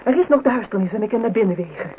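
Radio drama: actress as emotional young woman, hesitant and soft-hearted, mid pitch, faint noise behind. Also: expressive, rapid-fire, lightly acted, low-quality recording. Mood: urgent. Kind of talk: Dutch radio play acting.

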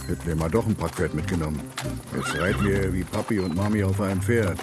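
A lowish, gruff male voice acted by a man for a bitter old cowboy in a Western radio play. He grumbles and threatens, with loud music behind him. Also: steady tone, quick pace, lightly acted. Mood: grumbling. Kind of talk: Western radio drama acting.

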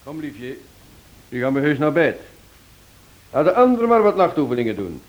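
An actor playing a courteous, self-assured detective, urbane and lightly ironic, in a radio play. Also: male voice, on the high side, sing-song, moderate pace, lo-fi sound. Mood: playful. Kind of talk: radio detective drama acting.

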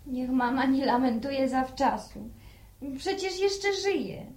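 Young village woman, high-pitched, no-nonsense and admonishing, radio drama dialogue. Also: expressive, takes it slowly, darkish tone, full character voice. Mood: reasoning, firm. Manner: firm, admonishing, practical. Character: practical, firm adult daughter in a village household.

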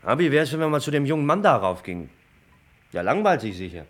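A man's voice: medium pitch, sing-song, quick pace. An actor playing a sardonic young man needling others in a roundabout, jaunty way in a German radio play. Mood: mocking.

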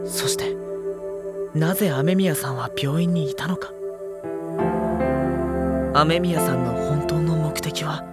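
Brooding, earnest teenage schoolboy, music underneath. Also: male voice, fairly high pitch. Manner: earnest, questioning, dramatic pauses. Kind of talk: Japanese audio drama acting, introspective narration.

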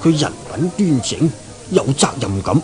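A man's voice: mid-range voice, sing-song, moderate pace, natural voice. An actor with a Hong Kong Cantonese accent playing an obsequious, ingratiating male nightclub manager in a 1970s radio drama. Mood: eager, fawning. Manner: servile, ingratiating, chuckling. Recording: old radio recording, music behind.

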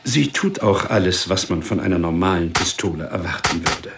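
An actor playing a precise, matter-of-fact ballistics examiner explaining evidence in a radio crime drama. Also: male voice, sits low, expressive, moderate pace, vocal fry, recorded from a distance, background noise. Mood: dryly expert. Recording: echoey room, off the mic.

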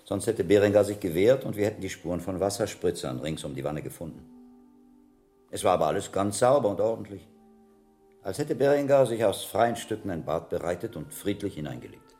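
An actor playing a shrewd, sober monk investigator in a medieval radio drama, measured, analytical and probing in his delivery. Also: deep voice, expressive, mellow, faint music behind.

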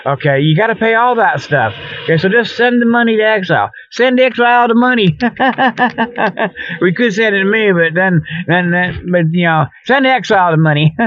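A man hosting a comedy radio show, ad-libbing a mock sales pitch in folksy, repetitive huckster patter. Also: no echo, highs cut off. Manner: folksy huckster patter, repetitive, chuckles often at own jokes. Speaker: host of a comedic radio report.

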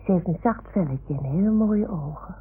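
A woman playing a small woolly toy dog in an old radio play, excitable and confiding, with childlike, theatrical earnestness. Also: on the low side, normal speed, natural voice. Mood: earnest. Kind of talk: 1960s-70s radio play acting. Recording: tinny, noisy old radio recording.

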